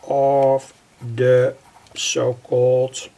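An older man giving an unscripted technical tutorial in a halting, repetitive way, piecing phrases together. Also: mid-range voice, monotone, dark tone. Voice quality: husky, gravelly. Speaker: electronics hobbyist presenter. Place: indoors at a worktable.

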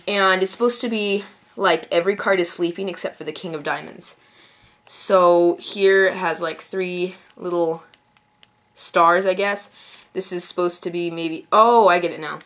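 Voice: mid-range voice, fairly bright tone. A young adult with a stuffy, congested voice from a cold, giving a casual unscripted review in a rambling, self-correcting way. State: mild head cold. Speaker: card deck reviewer. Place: indoors at a card table.

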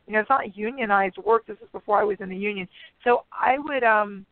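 Slightly raspy chatty woman over a phone line. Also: medium pitch, a little fast, no echo. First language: English. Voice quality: a bit raspy today. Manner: chatty, rambling with many fillers. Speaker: guest. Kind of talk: casual interview chat. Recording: phone-line radio sound.

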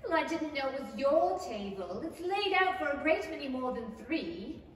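A woman's voice: high-pitched, sing-song, moderate pace. A woman playing a curious, proper young girl who is polite but easily indignant, in an old-time radio play style. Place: stage in an empty theatre hall. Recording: very echoey hall, distant mic, faint noise.

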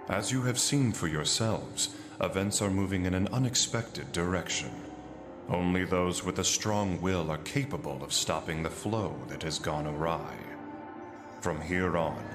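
An actor playing a calm, mysterious masked man, speaking solemnly and formally in a video game cutscene. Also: deep voice. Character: mysterious calm masked male guide addressing chosen youths. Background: game music.

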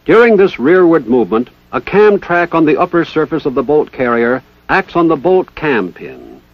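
A man giving formal, precise, scripted narration in the style of a 1960s military instructional film, with measured enunciation. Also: fairly high pitch, very animated, no echo. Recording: dull 1960s training film soundtrack.

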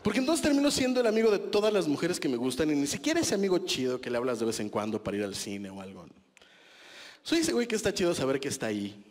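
A man's voice: on the high side, big pitch swings, fast, long reverb tail, a little hiss or hum. A Mexican man in his thirties doing a stand-up comedy set on a small club stage, speaking into a handheld mic in a conversational, self-mocking way with crude slang. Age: 30s.

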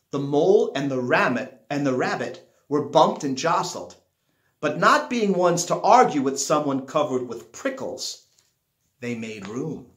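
A middle-aged man reading a children's picture book aloud at home, stressing and drawing out key words as a storyteller. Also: a little high. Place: small living room at home, indoors. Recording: roomy, echoey home recording.

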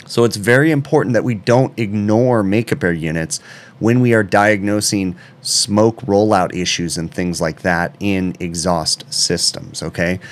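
A man's voice: fairly low pitch, fairly even intonation, measured pace. A man, an HVAC technician, giving an instructional explanation step by step, often checking in with the listener.

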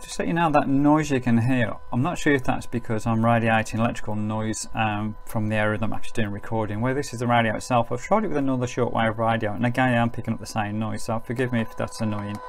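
A man's voice: mid-range voice, fast, few pauses, a little hiss or hum. A man giving a casual, chatty review of a small radio at a table indoors. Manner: chatty, relaxed, says "pop it" and "to be honest".

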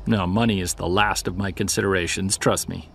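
A man acting a seasoned, driven investigator in an audio drama, earnest and measured, with a gravelly, husky voice. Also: deep voice, fairly even intonation, a bit bright, exaggerated voice. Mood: reflective, resolute. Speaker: voice actor. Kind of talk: naturalistic audio drama dialogue.

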